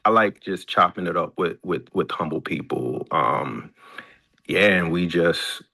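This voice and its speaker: A man with a very low, gravelly voice talks casually about his film work. He feels his way through answers, pausing to search for details and doubling back on words. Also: pushed and bright. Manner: hesitant, searching for details, often repeating words. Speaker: filmmaker and screenwriter. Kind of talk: casual question-and-answer chat.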